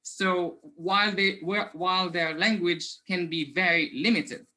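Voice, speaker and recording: Bright-voiced Moroccan-accented man presenting. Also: high-pitched, unhurried. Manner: earnest, explanatory, frequent "um" fillers and self-corrections. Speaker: conference presenter, assistant professor. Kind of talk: academic conference presentation.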